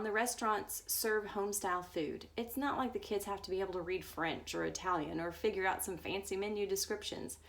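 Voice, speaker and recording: A woman reading a book aloud as a storyteller, now and then stumbling over words. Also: mid-range voice. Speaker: story reader.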